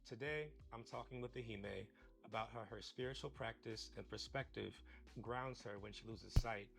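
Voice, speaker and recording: A man hosting a podcast, reading an earnest intro and asking measured interview questions. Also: mid-range voice, gravelly, rough recording. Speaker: podcast host. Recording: podcast clip played on stream, faint music.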